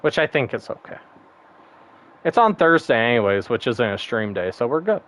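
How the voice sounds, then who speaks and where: A man's voice: medium pitch, big pitch swings, moderate pace, a bit bright. Rambling gamer guy, lo-fi mic. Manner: rambling, laughs often, swears casually. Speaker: streamer. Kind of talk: casual gaming stream chat.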